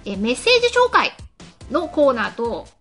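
A woman's voice: sits high, huge pitch swings, normal speed, a bit bright, faint music behind. A woman hosting a casual internet radio show, switching between chat and scripted announcements.

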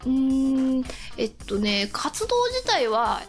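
High, lively, giggly woman radio host. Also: takes it slowly, faint music behind. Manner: giggly, often laughing while talking. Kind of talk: casual co-hosted radio chat.

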